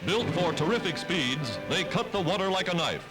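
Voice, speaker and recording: A man's voice: sits high, animated, normal speed. A man narrating a 1940s wartime newsreel in a dramatic, declamatory manner, on a vintage film soundtrack. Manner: declamatory, dramatic scripted delivery. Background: loud music.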